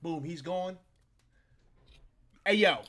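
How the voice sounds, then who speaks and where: A man's voice: fairly high pitch, takes it slowly, fairly bright tone. A man in his late thirties hosting a live sports talk stream, ranting with plenty of profanity and boasting. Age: 30s. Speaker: sports talk show host. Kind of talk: casual live-stream chat.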